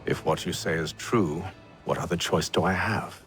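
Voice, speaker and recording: An actor playing a self-assured gentleman agent with dry wit in a video game, cool, articulate and sardonic. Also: sits low, normal speed, faint music behind. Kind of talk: video game cutscene acting.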